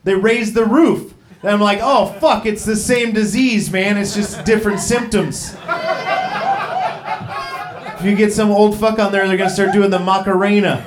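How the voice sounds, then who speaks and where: A man's voice: high-pitched, steady tone, moderate pace, echoey room, a crowd in the background. A man in his thirties doing a stand-up comedy set, rambling and profane, poking fun at himself. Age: 30s. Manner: profane, rambling, self-deprecating. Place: comedy club stage.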